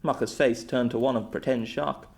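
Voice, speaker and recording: A man's voice: medium pitch, steady tone, moderate pace, gravelly, a little mellow. A man reading scripted story narration as an amateur audiobook narrator.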